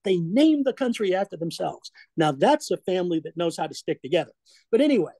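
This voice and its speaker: A man with a high yet mellow voice lectures on history without a script. He stumbles through frequent filler sounds and restarts, stops to make chatty asides, and the sound is dry and close. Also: sing-song, brisk, no room sound. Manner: discursive, conversational asides. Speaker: guest speaker at a history association meeting.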